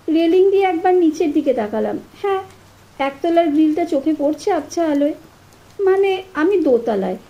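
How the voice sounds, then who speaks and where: A woman narrating a first-person horror story in an expressive, suspenseful, dramatic scripted style. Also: high voice, slight background noise, poor audio. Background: atmospheric sound effects.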